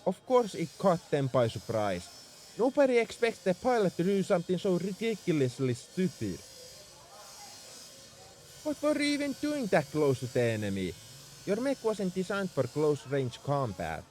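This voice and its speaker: A man's voice: high-pitched, huge pitch swings, normal speed, darkish tone. A man playing a young tsundere spaceship mech engineer in an audio roleplay, defensive about his feelings as he speaks straight to the listener. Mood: exasperated scolding. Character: gruff-caring tsundere male mech engineer, young adult. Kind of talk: ASMR roleplay acting, addressed to the listener.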